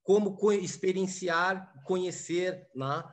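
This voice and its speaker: Halting man replying in an online debate. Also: high voice. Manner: halting, restarts words, adds "né?" as a tag. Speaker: debate participant. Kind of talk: reflective response in an online debate.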